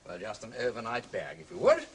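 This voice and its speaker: A man's voice: mid-range voice, wildly swooping pitch, fairly quick, gravelly, a little hiss or hum. An actor playing a jaunty, whimsical upper-class English amateur detective in a period radio drama, flippant and playfully theatrical in his old-fashioned phrasing. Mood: brisk, satisfied.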